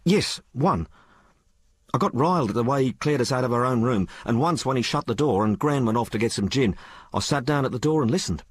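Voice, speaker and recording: A man voicing a rough, frank working-class young woman in an audiobook, blurting out her tale in colloquial, ungrammatical speech. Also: mid-range voice, expressive, a little fast, no room sound, pitched above the performer's own voice. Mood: frank, gossipy. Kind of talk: audiobook character dialogue.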